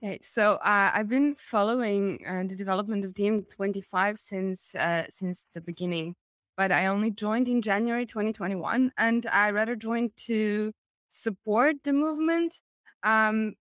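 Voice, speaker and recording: A woman's voice: mid-range voice, fairly bright tone, smooth, dry recording, clean studio sound. A woman, talking casually as a guest on a video call. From Serbia. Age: 20s. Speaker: interview guest, activist. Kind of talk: casual self-introduction. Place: indoor room, video call.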